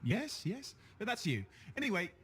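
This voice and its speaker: A man's voice: sits high, very animated, moderate pace, fairly bright tone, breathy edge, exaggerated voice. A man playing a chatty, boastful but insecure young sidekick in a sci-fi video game, playful and self-mocking. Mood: cheeky, boastful. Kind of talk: acted game dialogue.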